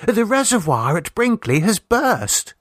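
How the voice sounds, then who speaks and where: A man puts on a mischievous Edwardian girl of sixteen in a storybook reading, high in pitch and swooping dramatically. She is breezy, grand and utterly self-assured, with a dry close sound. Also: sing-song, measured pace, no echo, full character voice. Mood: breezy, dramatic. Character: dramatic, self-assured sixteen-year-old Edwardian niece, mischievous tall-tale teller.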